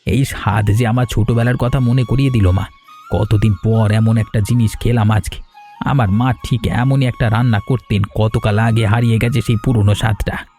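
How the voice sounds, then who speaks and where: An actor voicing a kind, generous older village gentleman in a cartoon, speaking in a gentle, fatherly way. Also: on the low side, steady tone, moderate pace, soft background music, close to an everyday voice. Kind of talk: cartoon voice acting.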